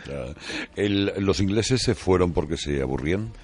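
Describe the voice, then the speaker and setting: An extremely deep-voiced man with an accent from Spain hosts an informal interview. His pitch moves around a lot as he puts questions together in a halting, hesitant way. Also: lively intonation, a little mellow. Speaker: interviewer, host. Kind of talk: conversational interview.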